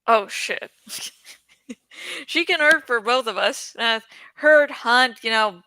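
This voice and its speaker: Bright-voiced woman reading a novel aloud. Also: on the high side, slow pace, rough recording. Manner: jokey, self-teasing asides when misreading. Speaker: amateur audiobook reader. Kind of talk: reading a fantasy novel aloud with casual asides.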